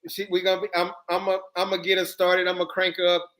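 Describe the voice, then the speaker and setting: A man's voice: high voice, completely flat delivery, fast talker, fairly bright tone. A man in his thirties hosting a livestream, chatting loosely and joking with guests. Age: 30s. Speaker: channel host. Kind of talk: casual livestream chat.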